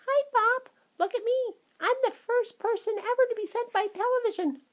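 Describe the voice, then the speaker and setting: A woman voicing a tiny, bratty boy with a squeaky voice. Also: normal speed. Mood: cheeky.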